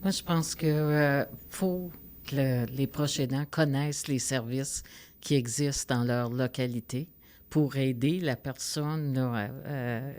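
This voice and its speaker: A panelist who speaks very slowly and hesitantly, leaning heavily on fillers. The voice is smooth and clear. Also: mid-range voice, steady tone, darkish tone. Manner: slow and hesitant, lots of "euh". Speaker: guest. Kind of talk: podcast panel discussion.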